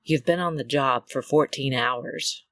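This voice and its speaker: A woman's voice: very deep voice, unhurried, gravelly, fairly bright tone, no echo, heavily acted. A woman playing a dutiful Amazon doctor in an old-style radio serial, formal and matter-of-fact. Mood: concerned. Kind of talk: 1940s radio serial acting.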